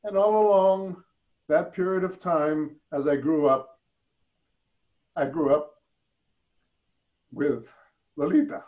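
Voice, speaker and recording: An older man raised in the Philippines, answering interview questions over a video call from his home, pausing and repeating words as he thinks. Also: a little high, fairly even intonation. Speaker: interview guest. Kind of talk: reflective online interview. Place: home room. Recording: muffled video call audio.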